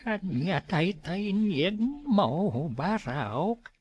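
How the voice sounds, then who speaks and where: A man playing an elderly father who slyly pretends to be senile, muttering slowly and waveringly in an audio drama. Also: high-pitched, expressive, dull audio, heavily acted. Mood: confused, fretful. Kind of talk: Ilocano audio drama acting.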